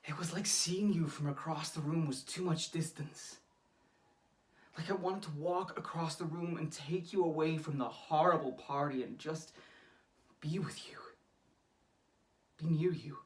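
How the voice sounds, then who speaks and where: An actor playing a young lover confessing aching love in a halting, earnest, intimate monologue. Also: medium pitch, steady tone, natural voice. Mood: wistful, yearning. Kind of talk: naturalistic monologue acting. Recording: distant microphone.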